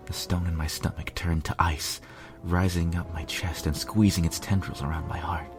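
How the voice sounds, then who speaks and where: Man as a deep-voiced, grave royal guard narrator. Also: steady tone, normal speed. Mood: anguished. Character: male pony royal guard telling his lovelorn tale. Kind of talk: scripted first-person story narration. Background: music.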